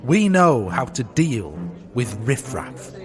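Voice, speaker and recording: An actor playing an arrogant, gruff Gilded Age tycoon villain in a comedic period audio drama, sneering and bombastic in slangy talk. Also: male voice, mid-range voice, sing-song, slow, soft background music. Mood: impatient, scheming.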